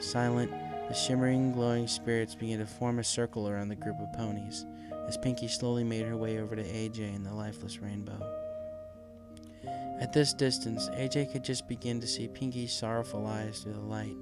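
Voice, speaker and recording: A man's voice: fairly low pitch, level tone, moderate pace. Male story narrator over background music. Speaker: narrator, fan fiction reader. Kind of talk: scripted dramatic story narration.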